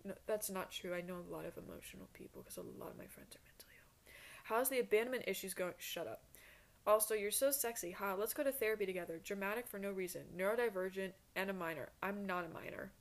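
A woman's voice: mid-range voice, fast talker. A woman in her twenties hosting a solo podcast in a rambling, casual chat, blunt and self-deprecating, swearing freely. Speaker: podcast host.

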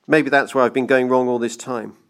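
A man's voice: mid-range voice, steady tone, brisk, fairly bright tone, close to an everyday voice. A man voicing a frenzied, domineering banker in an audiobook story, with a grandiose, barking manner. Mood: hesitant. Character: frenzied, domineering male banker obsessed with defeating time. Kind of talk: dramatised audiobook reading.

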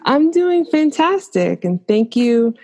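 Mellow-voiced woman hosting a podcast. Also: high voice, big pitch swings, slow. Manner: upbeat, asks questions, laughs along with the guest. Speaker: podcast host. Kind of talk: scripted intro, then interview.

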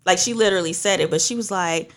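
Low-voiced woman telling lively stories. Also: quick pace. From Trinidad. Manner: animated storytelling, acts out other voices. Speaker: minister and beauty professional. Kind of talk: casual conversation.

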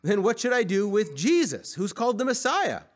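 A man's voice: high voice, big pitch swings, moderate pace, voice pushed up. A man reading as a stern, exasperated Roman governor in an emphatic, demanding storybook delivery. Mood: questioning.

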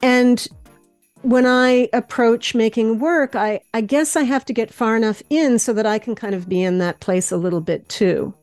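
A woman's voice: fairly high pitch, normal speed, darkish tone, dry recording, soft background music. A woman speaking as a guest in casual conversation, reflective and hesitant, often questioning herself as she goes. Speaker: guest, dance maker and teacher.

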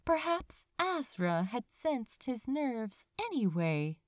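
A woman reading fantasy fiction aloud in an expressive, wry, theatrical storytelling manner. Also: fairly high pitch, takes it slowly, no echo, studio quality. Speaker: fan fiction audiobook reader.

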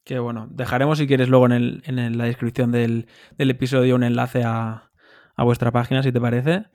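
A man's voice: medium pitch, level tone, brisk, darkish tone, no echo, clean studio sound. A man hosting a podcast interview, asking questions and giving short affirmations. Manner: affirming listener, short backchannels. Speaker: podcast host.

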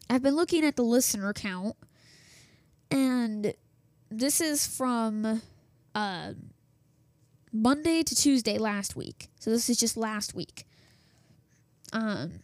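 A woman's voice: a little high, darkish tone, dry recording. Chatty woman host with a worn voice. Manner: chatty, self-interrupting, many fillers and restarts. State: losing her voice. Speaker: host of a school radio news show. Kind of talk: casual rambling radio chat. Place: home office. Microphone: desktop setup with small soundboard.

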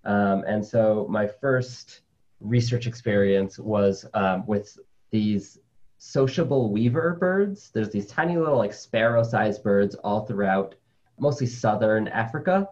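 A relaxed male spider scientist speaking in a low, easy voice, chatty and full of casual fillers. The sound is a little dull and muffled, like remote call audio. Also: slow. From Colombia, raised in New York City. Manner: chatty, with many "like" fillers, laughs easily. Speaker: interview guest, spider scientist. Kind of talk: casual conversational interview.

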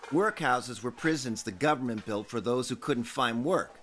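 A man's voice: medium pitch, animated, moderate pace, fairly bright tone, natural voice. An actor playing a polite, earnest male charity collector in a radio drama, speaking with emphasis. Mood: grave. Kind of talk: radio drama narration and acting.

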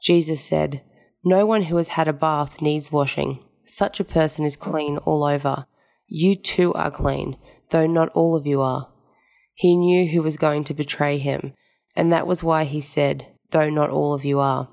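A woman with a very low voice reads scripture aloud from a text. Her delivery is solemn, and her voice carries a lot of gravelly creak. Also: steady tone, measured pace, darkish tone. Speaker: scripture reader. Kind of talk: solemn scripted Gospel reading.

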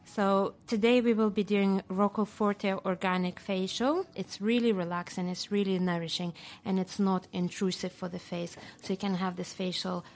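A woman working as a beauty therapist, calmly explaining a facial treatment. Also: medium pitch, moderate pace, few pauses.

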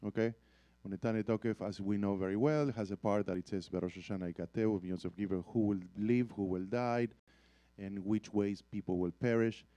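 A man in a study class, speaking deliberately and quoting liturgy. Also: lowish voice, steady tone, mellow, no echo, highs cut off. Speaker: class participant who chants liturgy.

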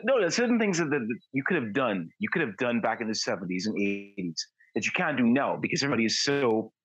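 Halting man, husky and dry. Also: medium pitch, big pitch swings, a bit bright, no room sound, poor audio. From Trinidad. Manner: halting, repeats words while searching for phrasing. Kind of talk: casual chat.

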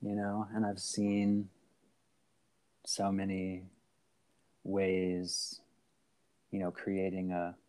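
A man's voice: low-pitched, completely flat delivery, drawn-out words, pauses often, mellow, smooth. A man hosting a podcast over a phone call, talking casually with lots of fillers and restarts. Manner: rambling, many fillers and restarts. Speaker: podcast host.

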